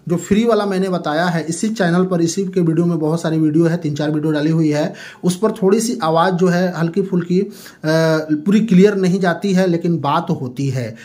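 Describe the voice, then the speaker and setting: Higher-pitched man explaining a phone app. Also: a little fast, a little mellow. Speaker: tech tutorial host. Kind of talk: app tutorial voice-over.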